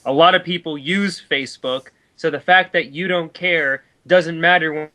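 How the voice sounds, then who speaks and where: A man's voice: a little high, sharp tone. A man co-hosting a review, giving sarcastic, argumentative commentary on pieces he reads out. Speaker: reviewer, co-host. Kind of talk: dramatic reading with mocking commentary.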